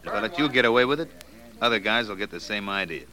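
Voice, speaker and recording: A man's voice: fairly low pitch, expressive, fast talker, sharp tone. An actor playing a coolly menacing male loan shark in a 1940s radio crime drama, talking tough street slang. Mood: calm menace.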